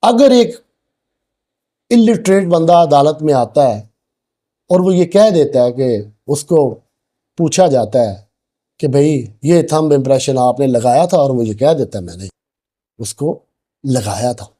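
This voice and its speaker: A man with a Pakistani accent giving a law lecture, explaining slowly and deliberately, dropping English legal phrases between his sentences. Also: medium pitch, lively intonation, warm tone.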